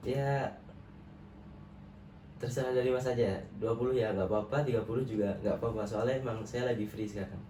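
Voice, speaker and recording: A young man from Central Java with a Banyumasan Ngapak accent, answering as a student client in a soft-spoken, agreeable way. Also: medium pitch, fairly even intonation, brisk. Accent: Banyumasan (Ngapak) Javanese. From Central Java, Indonesia. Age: young adult. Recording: roomy, distant mic with faint noise.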